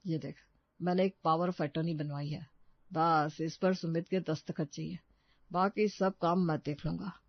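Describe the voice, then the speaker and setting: A man acts a crafty, middle-aged brother-in-law in a cartoon moral story. His voice is high for a man, with a sly, persuasive manner, on a dry, dull track. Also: fairly even intonation, unhurried, gravelly, no room sound. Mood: scheming.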